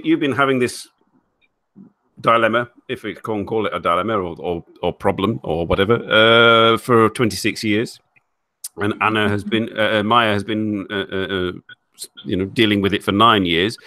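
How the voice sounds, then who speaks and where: Low-ish bright male host, keeps repeating himself mid-question, casual chat. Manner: halting, restarts phrases often. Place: home living room.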